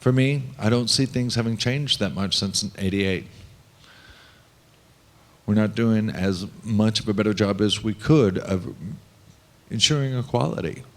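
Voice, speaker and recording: A man speaking at a panel discussion, voicing for a panelist in a deliberate, reflective way with pauses. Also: on the low side.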